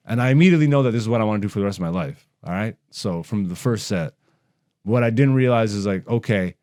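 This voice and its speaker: A man with an average-pitched voice hosts a casual comedy podcast. He rants bluntly in loose slang and swears freely as he goes. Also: a little mellow. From raised in Canada. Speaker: stand-up comedian and podcast host.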